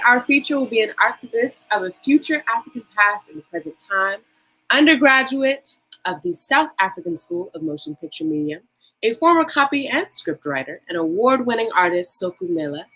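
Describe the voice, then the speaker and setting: A bright, clear-toned woman hosts a casual livestreamed podcast. She talks in an upbeat, chatty way and stretches out words for emphasis. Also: a little high, poor audio. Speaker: podcast host.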